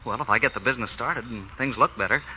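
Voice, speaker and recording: An actor playing an eager, brash young small-town suitor with an American accent, in old-time radio style. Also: male voice, medium pitch, quick pace, natural voice. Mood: tender, bashful. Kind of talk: 1940s radio acting. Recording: dull, noisy vintage radio recording.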